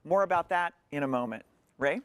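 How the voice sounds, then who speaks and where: A woman presenting at a corporate sales meeting with a crisp, scripted delivery. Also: low-pitched, fairly quick, no echo.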